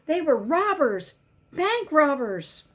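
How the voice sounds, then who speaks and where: A woman reading a children's picture book aloud as a volunteer, in simple, deliberate storytelling with emphasized key words. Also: high-pitched, big pitch swings, fairly bright tone.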